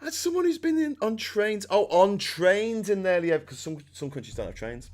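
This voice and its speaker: Middle aged scouse guy hosting, loud booming voice, excitable, laughs a lot. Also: fairly quick. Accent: Liverpool (Scouse). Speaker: host. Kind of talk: casual chat.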